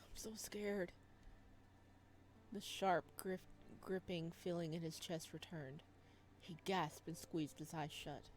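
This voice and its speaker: A woman narrating a visual novel playthrough, with expressive, emotionally invested reactions. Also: low-pitched, unhurried. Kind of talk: dramatic read-aloud with excited reaction commentary.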